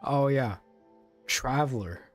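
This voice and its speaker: A man's voice: medium pitch, takes it slowly, soft background music. A man giving casual, slangy live-stream commentary over a game in an offhand way.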